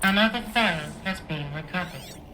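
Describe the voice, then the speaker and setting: A woman voicing a polite, impassive computer assistant in a video game, giving formal, impersonal announcements in a measured manner. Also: fairly low pitch, bright, forceful tone. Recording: faint ambient noise.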